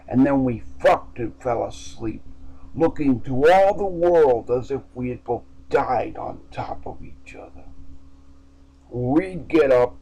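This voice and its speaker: A man's voice: medium pitch, mellow, slightly rough, low-quality recording. A man reading his own short story aloud in the first person, in a somber, downbeat way. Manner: slow, somber, downbeat delivery.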